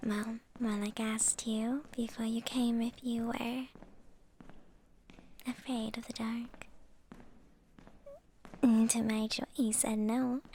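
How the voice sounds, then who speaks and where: A woman performs a coy young female vampire for an intimate ASMR roleplay. Her voice is soft and breathy, often whispered, with a creaky edge. She speaks slowly and hesitantly, playful and secretive, over faint background noise. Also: fairly high pitch. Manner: coy, hesitant, drawn-out phrasing with soft chuckles. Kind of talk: ASMR roleplay, intimate girlfriend-style monologue.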